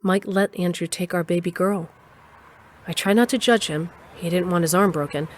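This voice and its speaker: A woman's voice: on the low side, normal speed, close to an everyday voice. A woman playing a protective, disapproving mother who narrates an audio drama in the first person.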